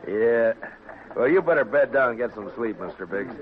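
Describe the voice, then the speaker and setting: An American man playing a steady, laconic US marshal in a 1950s radio western, terse and plainspoken. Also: mid-range voice, moderate pace, natural voice. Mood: easygoing, attentive. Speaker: lead actor in a radio western. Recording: tinny band-limited old radio recording.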